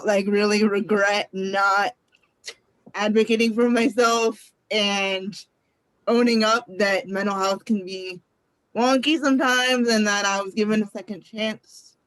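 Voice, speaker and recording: A woman's voice: mid-range voice, no echo, low-quality recording. A woman in her twenties from Connecticut, a youth advisory board member speaking hesitantly and reflectively in a casual panel chat. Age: 20s. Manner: hesitant, reflective, many ums.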